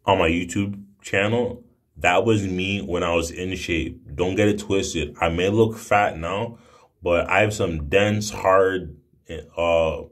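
A young man in his twenties with a fairly low voice delivers a casual monologue to camera. His talk is brash and slangy, full of emphatic swearing. He sounds like a video blogger recording on a phone indoors. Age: 20s. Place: small room indoors. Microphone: phone at arm's length.

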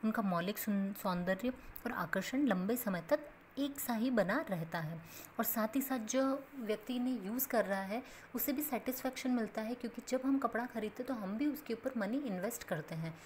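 A woman's voice: on the high side, normal speed. Woman teaching home science, faint hiss. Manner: steady explanatory, repeats points in plain steps, mixes English terms. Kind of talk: explaining a textbook page as a lesson.

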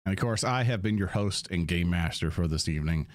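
A man's voice: low voice, a little fast, dry recording, studio quality. A man hosting as game master, narrating a live-play tabletop game and talking casually to viewers.

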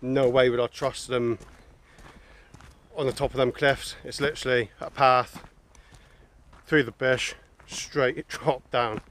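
A man's voice: mid-range voice, fairly even intonation, slow pace, long pauses, fairly bright tone, slight background noise. Chatty man on a cliff path. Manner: chatty, plain-spoken, talking while walking. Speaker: hiking vlogger. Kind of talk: casual walking vlog to camera. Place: outdoors on a wooded coastal cliff path. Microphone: handheld camera held at arm's length.

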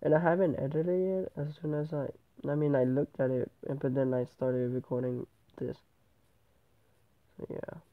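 A man's voice: a little high, little pitch movement, moderate pace. A male gamer with a stuffy nose from a cold, rambling through casual game commentary and often correcting himself. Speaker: gameplay channel host. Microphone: tablet microphone. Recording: tinny, phone-like tablet recording.

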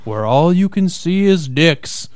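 Slow, clear-voiced male serial narrator. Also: on the high side, animated, a little mellow, dry recording, clean studio sound. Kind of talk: comic radio serial narration, announcer-like.

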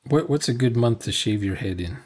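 A man's voice: mid-range voice, expressive, brisk, a little mellow. A man in his sixties giving casual, unscripted reaction commentary in a sarcastic, grumbling way. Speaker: reaction video host. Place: small cluttered room at home. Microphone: desk mic, unfiltered.

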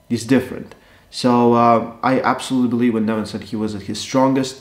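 A man, giving casual, animated analysis as an arm wrestling coach from his sofa. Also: medium pitch. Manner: animated, gesturing, conversational with fillers. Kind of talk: casual post-match analysis. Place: living room, seated on a sofa. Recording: echoey room.

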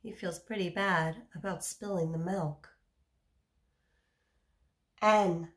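A woman's voice: on the low side, steady tone, slow pace. A woman in her thirties reading a picture book aloud to young children as a teacher, explaining simply and putting questions to her listeners.

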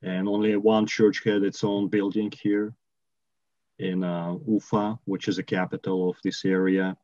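Slow-talking Russian-accented man on a call. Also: deep voice, little pitch movement, a little mellow, dry recording. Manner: slow, careful, many pauses and fillers. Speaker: missionary. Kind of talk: interview answers over video call. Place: home room with bookshelves, indoors. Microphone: video call. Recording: dull lo-fi call audio.

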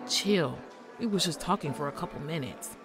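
Loyal practical friend, thief, lowish voice, audio drama, music underneath. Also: female voice, lively intonation, moderate pace. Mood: brisk. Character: steady, practical working woman, loyal friend turned thief.

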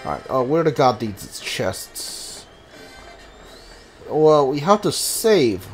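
A man giving casual video game commentary, halting and mumbling as he reads text from the screen. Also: mid-range voice, very animated. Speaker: gaming streamer. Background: video game music.

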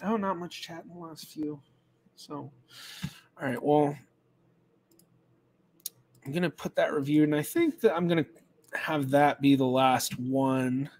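A man's voice: a little high, measured pace, long pauses, darkish tone, slight background noise. A man in his thirties, a genealogist, chatting casually on a livestream from a small room at home, often thinking aloud with restarts and fillers. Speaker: genealogist streamer. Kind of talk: casual livestream chat reading questions.

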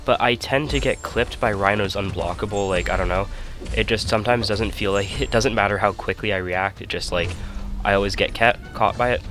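A man's voice: fairly low pitch, brisk. A young man with a stuffy nose giving casual, rambling commentary over his own gameplay. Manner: relaxed, rambling, self-correcting. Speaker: gaming channel host. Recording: voice-over with loud game music behind.

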